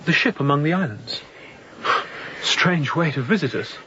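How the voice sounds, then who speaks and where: An actor playing a young, introspective and kindly sea captain in a radio drama, speaking in measured, formal phrases. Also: male voice, fairly high pitch, lively intonation, muffled recording, noisy background, close to an everyday voice. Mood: calm, sympathetic. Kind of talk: radio drama acting with reflective monologue.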